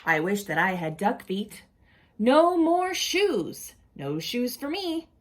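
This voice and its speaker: Very animated, slow-paced woman reading a storybook. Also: a little high. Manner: animated, deliberate, asks the listeners questions. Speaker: storyteller reading a children's picture book aloud. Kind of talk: expressive read-aloud with casual asides to young children.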